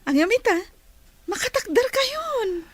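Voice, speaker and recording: An actress playing a chatty, curious female friend in a radio drama, with an expressive, friendly manner. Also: extremely high pitch, measured pace, no echo, heavily acted. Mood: cheerful, friendly.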